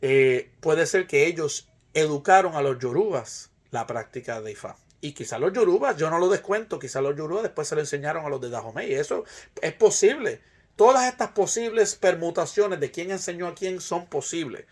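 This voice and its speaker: Higher-pitched Puerto Rican man, emphatic lecturer. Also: animated, moderate pace. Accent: Puerto Rican Spanish. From Puerto Rico. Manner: emphatic, rhetorical, repeats phrases for emphasis. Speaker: presenter, Ifa practitioner. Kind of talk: argumentative informal lecture.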